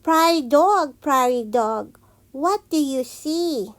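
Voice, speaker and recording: A woman reading children's picture books aloud as a teacher, in a deliberate, sing-song manner. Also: high-pitched, lively intonation, noisy background.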